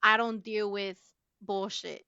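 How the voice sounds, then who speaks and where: A woman's voice: medium pitch, level tone, takes it slowly, gravelly, sharp tone, smooth, no echo, muffled recording. A woman in casual podcast banter, talking in a slangy, blunt way as one of the book club hosts.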